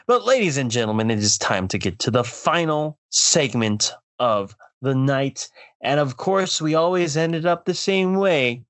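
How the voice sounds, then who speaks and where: A man's voice: a little high, lively intonation, a little slow. A man hosting a casual talk show and giving opinionated takes. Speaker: host.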